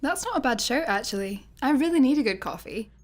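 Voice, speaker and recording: A woman's voice: high voice, expressive, brisk. A woman with a Scottish accent playing a blunt, guarded young adventurer with dry sarcasm in a sci-fi comedy radio play. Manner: casual, dry.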